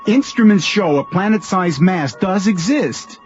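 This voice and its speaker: A deferential space station technician in a radio drama. His earnest voice is fairly high, on a slightly muffled vintage recording with faint music. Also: animated, a little slow.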